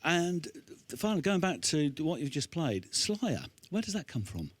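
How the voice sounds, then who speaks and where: A man's voice: fairly high pitch, sing-song, normal speed. A man in his fifties hosting a casual on-stage interview in a chatty, encouraging way, speaking into a handheld microphone. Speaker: host interviewing a young band.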